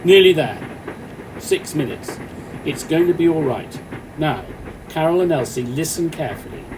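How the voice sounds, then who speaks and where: A man playing a calm off-duty policeman in a radio play, speaking in a measured, reassuring, authoritative way. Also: close to an everyday voice. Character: Mike, calm, commanding off-duty policeman on a train. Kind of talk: amateur radio play acting. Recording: noisy, train carriage rumble behind.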